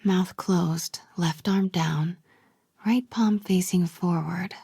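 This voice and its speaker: Casting: a young female investigative reporter for a game, plucky and nosy, very breathy voice, very dry sound. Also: on the low side, steady tone, takes it slowly, no room sound, exaggerated voice. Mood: hushed, focused. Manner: hushed muttering to herself. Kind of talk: video game voice acting.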